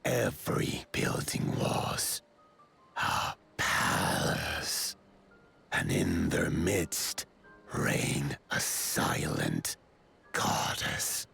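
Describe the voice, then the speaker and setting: An actor playing a solemn, towering giant elder in a video game, with a low gravelly voice and slow, formal speech. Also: male voice, huge pitch swings, sharp tone, faint music behind, exaggerated voice.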